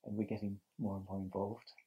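A man in his sixties from England, answering interview questions outdoors in a thoughtful, halting way with frequent restarts. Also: fairly low pitch, little pitch movement, dark tone, breathy edge, muffled recording. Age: 60s. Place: outdoors on a terrace by a lake.

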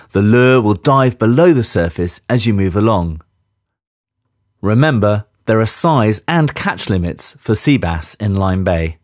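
A man narrating a scripted safety briefing in a clear, measured instructional manner. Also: medium pitch, dry recording, studio quality.

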